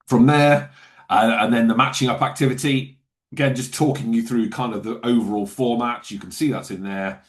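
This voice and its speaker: A man walking viewers through software in a webinar, talking casually and loosely with fillers and self-corrections. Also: medium pitch, fairly even intonation, moderate pace. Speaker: software trainer. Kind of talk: webinar screen-share walkthrough.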